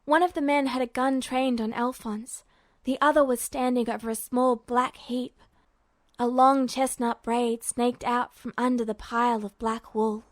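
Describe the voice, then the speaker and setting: A woman's voice: fairly high pitch, fairly even intonation, measured pace, no echo, clean studio sound. A woman reading a scripted story aloud as narrator.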